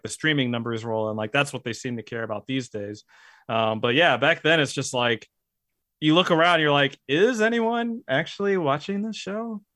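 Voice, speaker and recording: A man in casual chat who talks in a steady, even way, with lots of likes and fillers. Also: mid-range voice, no echo, clean studio sound. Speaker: podcast guest, YouTuber. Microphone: close mic, hands bump it.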